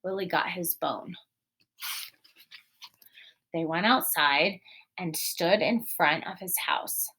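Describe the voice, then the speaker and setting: Expressive woman teacher reading aloud. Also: fairly low pitch, slow pace, pauses often, slightly rough. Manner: expressive, explanatory, addresses young children. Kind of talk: storybook read-aloud with guiding questions.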